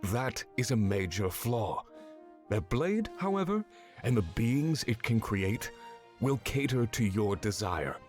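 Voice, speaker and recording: A man plays a stern, guarded warrior mentor from a fantasy game, with a fairly low voice. He speaks in solemn, measured lessons weighed down by remorse, over faint music. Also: sing-song, darkish tone. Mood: stern lecturing. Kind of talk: scripted video game character acting.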